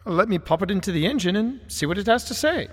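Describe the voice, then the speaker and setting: A man voicing a fussy, formal Victorian gentleman archivist who speaks in a prim, reassuring way. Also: sits high, expressive, quick pace, voice pushed up. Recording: long reverb.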